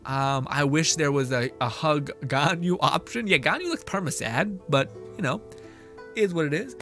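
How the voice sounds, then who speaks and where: Guy streamer, bright highish voice, sweary casual commentary, animated, game music behind. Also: normal speed. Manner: sweary, animated, mock-indignant. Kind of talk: casual live commentary on a game, reading chat.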